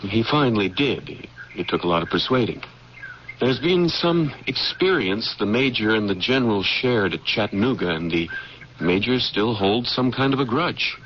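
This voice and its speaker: Man playing an earnest political aide, lowish voice. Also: lively intonation, normal speed, close to an everyday voice. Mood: worried, confiding. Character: earnest, scheming political aide to a Civil War general. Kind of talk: old-time radio drama acting. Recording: muffled, noisy old radio broadcast recording.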